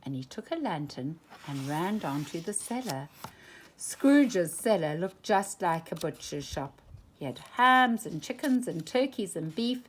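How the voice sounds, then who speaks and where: A woman reading a children's storybook aloud, slow and expressive. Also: lowish voice, a little hiss or hum, low-quality recording. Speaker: storytime reader.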